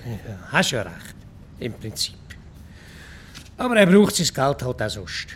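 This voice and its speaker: A man's voice: medium pitch, big pitch swings, a little slow, a little hiss or hum. An actor in a radio play playing an easygoing, wry village council man with a Swiss accent. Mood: placating. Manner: colloquial, wry. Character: easygoing, sympathetic male village council colleague.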